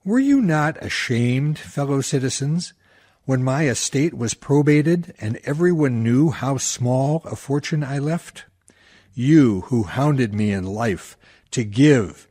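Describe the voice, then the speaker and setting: A man playing an indignant, bitter dead townsman who declaims reproachfully in a husky voice, as in a radio play. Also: mid-range voice, darkish tone. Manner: declamatory, emphatic. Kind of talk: theatrical radio-play oratory.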